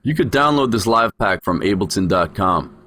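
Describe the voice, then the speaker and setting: A man's voice: on the low side, lively intonation, normal speed, a bit bright, no room sound, studio quality. A man giving an explanatory voice-over tutorial as a music production instructor.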